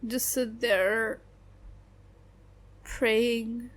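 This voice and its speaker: A woman playing a young adult best friend who speaks in a halting, subdued way with long pauses, venting about her family. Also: a little high, little pitch movement. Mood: hurt. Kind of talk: audio roleplay acting.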